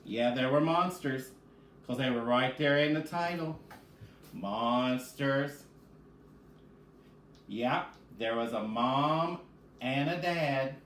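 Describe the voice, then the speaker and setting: A man with a mid-pitched voice leads a children's read-aloud lesson as a reading teacher. He speaks directly to young listeners. The room is echoey, with faint noise behind him. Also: takes it slowly, pauses often, a bit bright. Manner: addresses children directly, asks and answers own questions.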